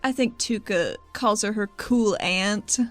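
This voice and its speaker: A woman's voice: medium pitch, slow, soft background music. Casual woman playing a tabletop game.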